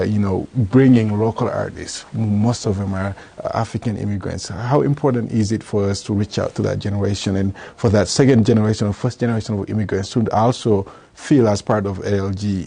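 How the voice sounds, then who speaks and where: A man co-hosting a discussion show, talking in a halting, conversational way with many restarts. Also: on the low side, vocal fry, dark tone, slightly rough. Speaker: co-host.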